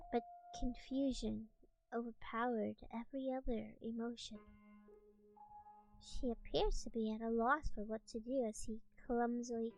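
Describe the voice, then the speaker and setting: A woman giving a soft-spoken ASMR reading of a story in a gentle, deliberate manner. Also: a little high, rough recording. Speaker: streamer reading a visual novel aloud.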